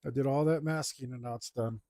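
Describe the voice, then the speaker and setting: A man talking casually to himself as he works on a digital drawing, mumbling in a relaxed way. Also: mid-range voice, a little fast, no room sound, studio quality. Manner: mumbling to himself, laid-back. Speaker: digital artist. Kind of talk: casual talk while drawing.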